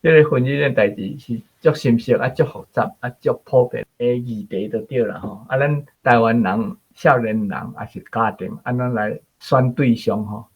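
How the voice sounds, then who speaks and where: A man's voice: medium pitch, moderate pace, few pauses, husky. A man with a Taiwanese accent giving casual spoken commentary as a programme guest, on a slightly dull recording.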